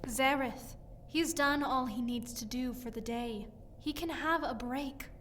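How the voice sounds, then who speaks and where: A woman plays a protective, grieving mother in an audio drama, earnest and pleading. Her high, bright voice sits a little away from the mic with faint noise behind it. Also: a little slow, distant mic. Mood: firm reproach.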